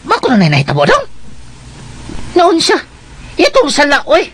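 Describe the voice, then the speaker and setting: A man's voice: very high-pitched, wildly swooping pitch, normal speed, a bit bright, a little hiss or hum, heavily acted. A man playing a fussy, grumbling older household companion in a radio drama, exclamatory and complaining.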